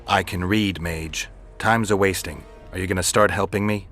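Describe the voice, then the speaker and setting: An actor playing a gruff, sardonic monster hunter in a video game, terse and deadpan, with a gravelly voice. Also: male voice, sits low, normal speed, soft background music. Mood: curt impatience. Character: gruff, sardonic monster-hunting warrior for hire. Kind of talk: video game dialogue acting.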